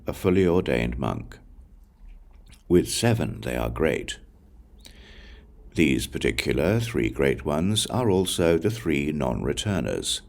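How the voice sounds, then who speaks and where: Male audiobook reader for Buddhist scripture: rock-bottom pitch with lively movement, solemn and measured delivery. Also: vocal fry.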